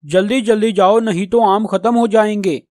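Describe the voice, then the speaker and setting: A man's voice: high voice, normal speed, darkish tone, dry recording, studio quality, close to an everyday voice. A man voicing a friendly, chatty male crow who shops for mangoes in a children's cartoon. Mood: friendly, eager.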